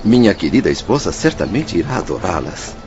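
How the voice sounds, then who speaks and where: An actor playing a vain, fussy bourgeois merchant in a radio drama, with a pompous manner. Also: male voice, deep voice, big pitch swings, fairly quick, creaky, darkish tone, soft background music, exaggerated voice. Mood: pompous, self-satisfied. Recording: dull audio, faint background noise.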